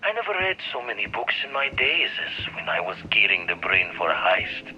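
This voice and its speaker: A man's voice: fairly low pitch, sing-song, moderate pace, pushed and bright, muffled recording, faint music behind, full character voice. An actor playing an older retired space pirate in a video game, telling his past in colourful, wistful old-salt phrases. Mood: wry, nostalgic. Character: grizzled retired space pirate, reflective, old, turned explorer. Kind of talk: video game character monologue.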